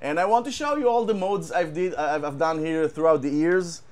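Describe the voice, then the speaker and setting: A man with an Israeli accent, a guitar tech, talking casually and haltingly, repeating words and using many fillers. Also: a little high, darkish tone. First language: Hebrew. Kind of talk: casual show-and-tell chat.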